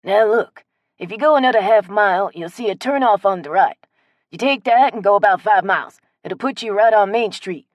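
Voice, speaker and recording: A woman voicing a friendly, generous old man with a loud, drawling way of talking in an audiobook. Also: medium pitch, normal speed, no room sound, muffled recording, natural voice. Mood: folksy, hearty. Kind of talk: audiobook character voice.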